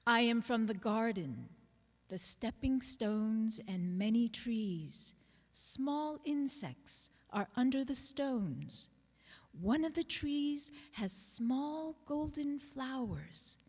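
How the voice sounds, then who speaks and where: Woman for live poetry reading: unhurried, measured, fairly high voice, hall reverb. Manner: slow, measured delivery with deliberate pauses. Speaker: actor reading others' writing aloud. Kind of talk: dramatic reading of personal poems.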